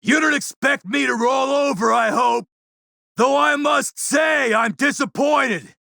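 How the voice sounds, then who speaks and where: An actor playing a brutal, sneering warlord with a gravelly, rasping voice, throwing forceful combat taunts in a video game. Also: male voice, very high voice, a little slow, no echo, studio quality, full character voice. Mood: savage, taunting.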